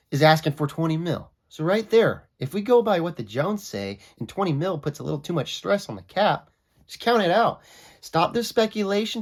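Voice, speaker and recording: A young man with a bit of a cold, so his voice comes out a little raspy. he talks through his football takes in a loose, opinionated way, his pitch jumping up when he gets fired up. it has the feel of a casual solo video chat. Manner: opinionated, conversational, animated pitch swings. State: sick, raspy voice. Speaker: sports vlogger. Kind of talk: casual sports commentary.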